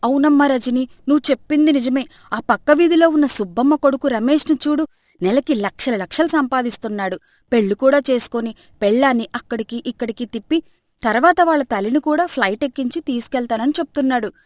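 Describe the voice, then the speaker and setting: A woman voicing a scheming, sharp-tongued middle-aged mother-in-law in a comic moral story, with a scolding, dramatic manner. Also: high voice, fast, no echo, clean studio sound, close to an everyday voice. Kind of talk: animated moral story character acting.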